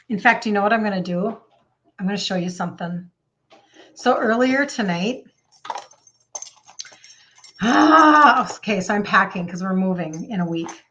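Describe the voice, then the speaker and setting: A woman in her fifties with a Minnesota accent, hosting a casual live stream and chatting excitably with viewers by name. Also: medium pitch, dull audio. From Minnesota, United States. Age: 50s. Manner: excitable, gushing, repeats phrases for emphasis. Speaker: live stream host, health coach.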